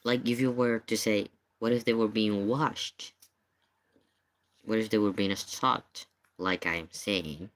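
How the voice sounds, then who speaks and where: Man, low voice, casual streamer commentary, emphatic, questioning, repetitive phrasing. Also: slow, fairly bright tone. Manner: emphatic, repeats points, questioning. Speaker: streamer reacting to paranormal investigation video. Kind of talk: casual live reaction commentary.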